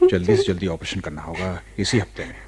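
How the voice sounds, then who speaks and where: A man's voice: low-pitched, lively intonation, quick pace, slightly rough, full character voice. An actor playing a fatherly, reassuring doctor in a Hindi radio drama, dropping in hums and English phrases. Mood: grave, concerned. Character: reassuring male doctor, fatherly and jovially brisk.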